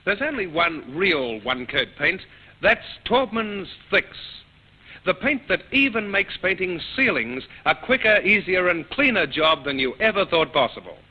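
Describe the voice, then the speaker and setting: A man's voice: sits high, sing-song, unhurried, husky. A man reading a scripted commercial as a vintage radio announcer. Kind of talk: scripted vintage advertisement read. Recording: dull old radio recording.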